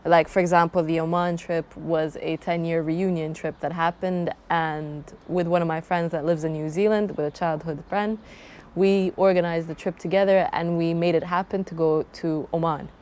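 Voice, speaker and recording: A woman's voice: on the low side, flat delivery, moderate pace, darkish tone. A young woman from Croatia introducing herself and her travel channel, talking in a thoughtful, rambling way with frequent restarts. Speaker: travel vlogger. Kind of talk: casual self-introduction to camera.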